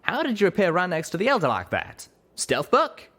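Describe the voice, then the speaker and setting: A man's voice: high-pitched, huge pitch swings, moderate pace, pushed and bright, pitched above the performer's own voice, full character voice. Man doing a folksy, frank pegasus cowboy. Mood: wondering.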